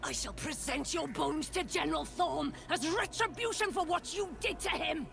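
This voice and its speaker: A woman's voice: sits high, normal speed, creaky, over music. An actress playing a stern female officer who gives orders and threatens an intruder. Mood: menacing. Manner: commanding, threatening.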